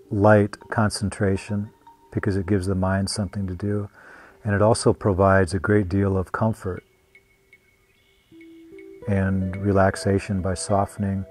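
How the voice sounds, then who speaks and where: Low, even-voiced man guiding meditation. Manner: gentle, unhurried with long pauses. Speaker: meditation teacher. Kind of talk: guided meditation. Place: meditation retreat.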